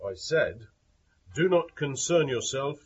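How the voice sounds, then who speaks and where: An actor playing a calm hangman in a radio drama, deliberate, commanding and matter-of-fact. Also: medium pitch, breathy edge, highs cut off. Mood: calm, procedural. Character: calm, businesslike male hangman giving instructions to the condemned. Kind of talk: broadcast radio drama acting.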